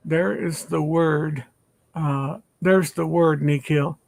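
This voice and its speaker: A retired older pastor hosting a casual online chat, rambling with many fillers and restarts. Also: male voice, a little high, fairly even intonation, slow pace, vocal fry, a little mellow, no room sound.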